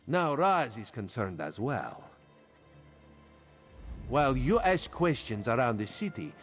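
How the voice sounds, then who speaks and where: Sly feline secret agent, playful and coaxing, hugely animated pitch, game dialogue over music. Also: male voice, full character voice. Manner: speaks of himself in third person, coaxing. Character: sly male cat-folk spy, playful agent of a queen. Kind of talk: video game character dialogue.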